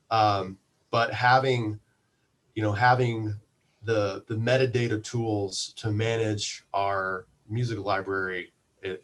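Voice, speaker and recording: A man with a fairly low voice chats as a guest on a podcast. He talks discursively and thinks aloud as he goes, in a casual interview style. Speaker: podcast guest, radio station manager.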